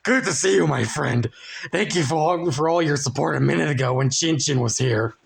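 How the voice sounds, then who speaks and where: A man's voice: on the high side, a little fast, vocal fry, voice pushed up. A man voicing a brash, foul-mouthed ringleader who talks in exclamations and scolding in a dramatic story reading. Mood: excited, grateful.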